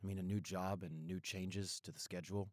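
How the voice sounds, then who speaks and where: A man playing a caring, apologetic young boyfriend in an intimate roleplay audio, speaking earnestly and gently. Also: low voice, steady tone, fairly quick, no room sound, studio quality, natural voice. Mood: troubled, brooding. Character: apologetic, caring young boyfriend soothing his upset girlfriend.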